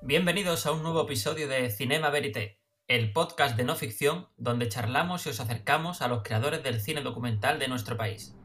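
A man hosting a podcast about documentaries. His voice sits at a medium pitch, and he phrases his questions tentatively, checking in with repeated tag questions. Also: brisk, few pauses, fairly bright tone, faint music behind. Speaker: podcast host. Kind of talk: podcast interview.

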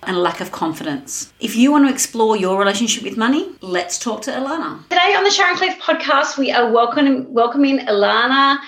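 A woman's voice: on the high side, expressive, fairly quick, hardly any pauses, fairly bright tone, echoey room. A middle-aged woman hosting a podcast, introducing a guest and asking her questions. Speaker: podcast host and interviewer.

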